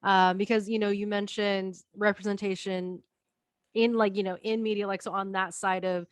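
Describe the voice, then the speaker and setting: Woman podcast host, relaxed and hedging, mid pitch, dry close mic. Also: normal speed, dry recording. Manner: relaxed, hedging fillers like "so" and "you know". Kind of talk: casual interview chat.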